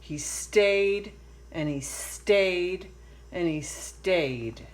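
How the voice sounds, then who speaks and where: A woman reading a children's picture book aloud in a deliberate way, pausing for emphasis. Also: fairly low pitch. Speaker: storybook reader.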